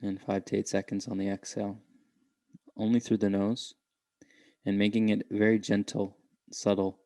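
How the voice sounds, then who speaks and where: A man guiding a meditation in a gentle, unhurried way, leaving long pauses between short phrases. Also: lowish voice, little pitch movement, no echo, clean studio sound. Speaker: meditation guide. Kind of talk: guided meditation.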